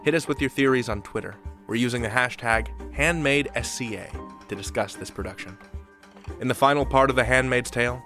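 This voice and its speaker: A man's voice: mid-range voice, fairly quick, sharp tone, faint music behind. A man hosting a podcast, reading scripted announcements and credits. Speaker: podcast host.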